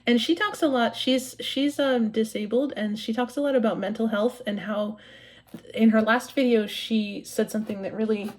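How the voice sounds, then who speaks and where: A woman's voice: fairly high pitch, steady tone, normal speed, darkish tone. Chatty middle-aged woman from Maine. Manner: chatty, rambling, self-correcting with many fillers. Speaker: cross-stitch vlogger. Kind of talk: casual chat to camera.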